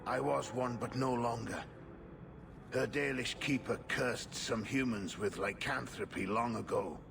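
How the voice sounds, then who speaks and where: An actor playing a remorseful former werewolf, speaking gravely and haltingly in a fantasy video game, with a gravelly voice. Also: male voice, mid-range voice, noisy background. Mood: solemn. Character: remorseful former werewolf, human man, pleading. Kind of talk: video game cutscene acting. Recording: music under the voice.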